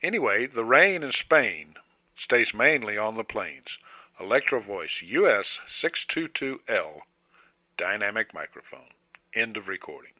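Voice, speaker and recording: A man giving an informal product demonstration, speaking in a measured, matter-of-fact way as the presenter. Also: on the low side, big pitch swings, bright, forceful tone, no room sound. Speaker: presenter demonstrating vintage radio microphones.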